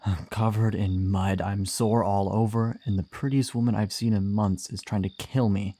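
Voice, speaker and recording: Man acting out a low-voiced, wry monster hunter. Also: fairly even intonation, moderate pace, warm tone, lightly acted. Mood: strained, amused. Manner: teasing, wry, swearing, sighing. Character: male monster hunter, gruff, wry, flirtatious bounty hunter. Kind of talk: immersive fantasy audio roleplay ASMR.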